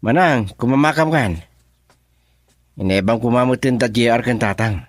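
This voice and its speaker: An actor playing a sentimental suitor in an Ilocano radio love drama, speaking dramatically with an Ilocano accent. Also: male voice, mid-range voice, moderate pace, music in the background, close to an everyday voice. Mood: polite.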